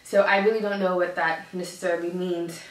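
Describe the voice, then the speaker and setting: A woman's voice: lowish voice, fairly even intonation, a little fast. A woman in her thirties chatting to the camera in a casual update from her home office, giggling often and correcting herself. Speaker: vlogger. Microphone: camera across the desk. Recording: echoey room, mic a bit far.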